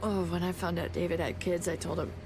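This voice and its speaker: A woman's voice: flat delivery, a little fast, music in the background, natural voice. An actress playing a tough, rebellious, sardonic woman surviving a zombie apocalypse, dry and candid in a video game drama. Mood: wry, relaxed. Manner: dry, candid, low-key.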